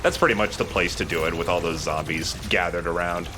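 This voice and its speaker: A man's voice: low-pitched, fairly even intonation, brisk, noisy background. An enthusiastic man giving casual let's play commentary as he plays a video game. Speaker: let's play host and player.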